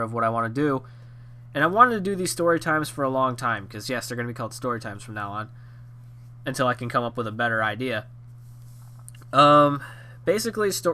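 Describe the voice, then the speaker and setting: A man of twenty telling a story from his own life in a rambling, casual way, slightly hoarse and nasal from a cold. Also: medium pitch, pushed and bright. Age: 20s. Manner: rambling with many fillers and restarts. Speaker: YouTube storyteller.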